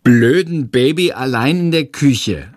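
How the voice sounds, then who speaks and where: A man's voice: medium pitch, animated, slow, a little mellow, no echo, clean studio sound, voice pushed up. A man voicing a sulky, whiny little boy in an audiobook. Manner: whiny, pouting. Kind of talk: audiobook character voice.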